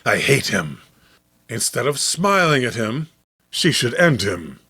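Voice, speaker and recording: Man acting an obsessive lovestruck musician, animated. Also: mid-range voice, unhurried, husky, no echo, exaggerated voice. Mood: jealous. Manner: melodramatic, brooding. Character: Eric, obsessive lovestruck male musician, anguished.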